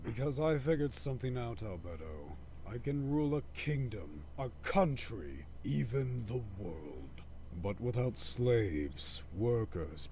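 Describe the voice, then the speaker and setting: A man's voice: on the low side, dark tone, a little hiss or hum, full character voice. A man voicing a cruel, sardonic undead overlord in a comedic anime parody, theatrical, menacing and gloating.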